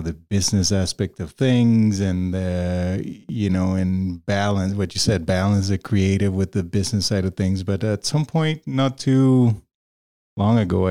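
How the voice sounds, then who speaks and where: Mellow-voiced Venezuelan man, rambling chat. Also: low voice, clear, resonant tone. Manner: rambling, many fillers and restarts, laughs often. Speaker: podcast guest, freelance video producer. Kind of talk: casual interview chat.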